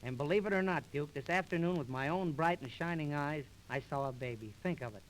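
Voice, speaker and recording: A man's voice: a little high, fairly quick, slight background noise, poor audio. A man performing mock-earnest comic patter as a comedian in an old 1930s radio show. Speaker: comedian and singer in a comedy duo. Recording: restored 1930s radio transcription disc.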